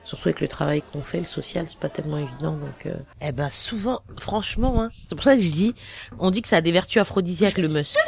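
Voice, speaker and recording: A woman from Cameroon giving a casual personal testimony, halting, with many fillers and restarts. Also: low-pitched, creaky, slight background noise. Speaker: interviewee.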